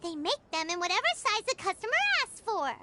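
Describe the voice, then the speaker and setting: A woman's voice: very high-pitched, expressive, a little fast, heavy background noise. A woman voicing a small, childlike, cheeky fairy companion in a video game, who refers to herself in the third person. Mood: indignant. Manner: talks about self in third person. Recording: loud cheerful game music behind.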